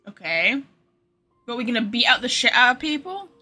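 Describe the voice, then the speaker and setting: Bright-voiced young woman streaming games. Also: on the high side, sing-song, moderate pace. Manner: informal, sweary. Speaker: game streamer. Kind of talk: casual livestream gaming commentary. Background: game music.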